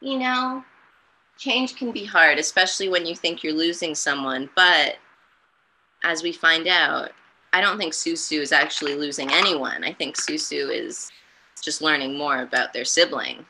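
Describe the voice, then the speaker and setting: A woman reading a children's storybook aloud and commenting in a gentle, encouraging way. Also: low-pitched, normal speed, a little hiss or hum, poor audio. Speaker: storytime reader and host.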